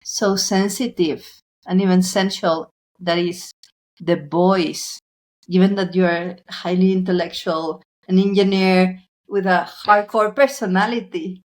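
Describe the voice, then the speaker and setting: Woman podcast host, talks slow and soft, measured, mid pitch. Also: steady tone. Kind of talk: interview.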